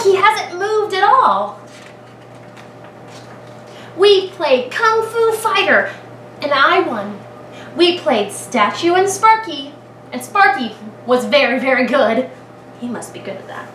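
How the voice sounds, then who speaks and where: A woman with a high, very lively voice reads a story to small children in the manner of a kindergarten teacher. She paces it with dramatic pauses and thinks aloud for her listeners. The recording is roomy and echoing, captured at a distance from the mic. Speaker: kindergarten teacher reading a picture book aloud. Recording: echoey room, distant mic, clear background noise.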